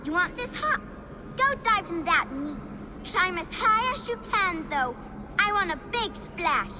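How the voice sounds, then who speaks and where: A cheeky, bossy child from a fantasy game. The voice is very high, slow-paced and lively in pitch. It is set in loud outdoor ambience. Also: female voice, background noise, exaggerated voice. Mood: taunting. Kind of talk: video game voice acting.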